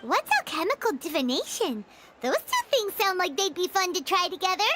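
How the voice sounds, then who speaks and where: A woman voicing a small, childlike, food-obsessed fairy companion in a video game, squeaky and exclamatory. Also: sing-song, moderate pace, noisy background. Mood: skeptical, curious. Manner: speaks of herself in third person, exclamatory.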